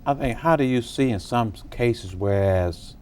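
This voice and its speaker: A man's voice: mid-range voice, a little slow, a little mellow, clear, resonant tone. A man hosting an interview, asking conversational questions and nodding along with short replies. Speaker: talk show host.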